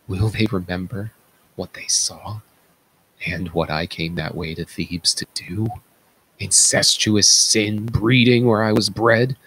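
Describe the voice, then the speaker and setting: A man's voice: on the low side, creaky, slightly rough, full character voice, poor audio. A man playing an anguished, self-loathing blinded king in a theatrical, declamatory reading of Greek tragedy. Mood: tormented reproach. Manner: declamatory, tormented, dramatic.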